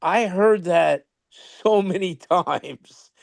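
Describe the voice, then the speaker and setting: A man with a New York accent telling animated stories in a casual online chat, full of restarts and chuckles. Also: high-pitched, drawn-out words, gravelly, no echo. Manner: animated, laughing anecdotes with many restarts. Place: online video meeting.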